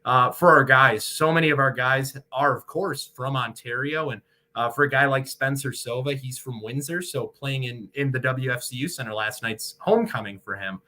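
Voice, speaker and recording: A man's voice: medium pitch, normal speed, sharp tone. An American man, a sportscaster from Michigan, talking casually and at length as an interview guest. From Michigan, United States. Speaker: sportscaster, radio play-by-play broadcaster, interview guest.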